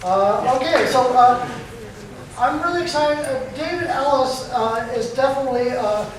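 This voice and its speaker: A man's voice: extremely high pitch, normal speed, crowd noise. A man hosting a live festival event, introducing the next speaker with a hesitant delivery full of fillers. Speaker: festival MC and host. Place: event venue before a crowd. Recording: echoey hall, distant mic, background noise.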